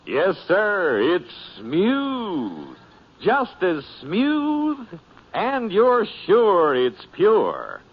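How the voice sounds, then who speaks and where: A man's voice: sits high, huge pitch swings, very slow, full character voice. A man playing a savoring, enthusiastic beer lover in a 1940s radio commercial. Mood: relishing. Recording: dull 1940s radio recording.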